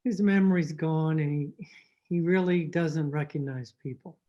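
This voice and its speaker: Woman acting a low, mellow, blunt relative. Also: normal speed, natural voice. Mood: explaining. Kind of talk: old-time radio drama acting. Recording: muffled home video-call audio.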